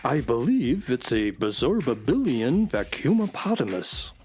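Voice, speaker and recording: A woman's voice: rumbling low, big pitch swings, unhurried, a little mellow, faint music behind, full character voice. A woman playing a loyal, observant talking space horse in a children's radio drama, earnest and dramatic. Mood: earnest concern.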